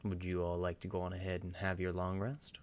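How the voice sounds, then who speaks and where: A man running a tabletop game as dungeon master, narrating in a deliberate, measured way with long pauses. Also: deep voice, completely flat delivery.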